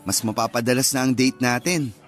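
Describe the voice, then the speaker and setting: Actor as earnest young suitor, mid-pitched male voice. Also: fairly even intonation, brisk, soft background music, natural voice. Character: earnest, hopeful young single man courting old sweetheart. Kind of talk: radio romance drama dialogue.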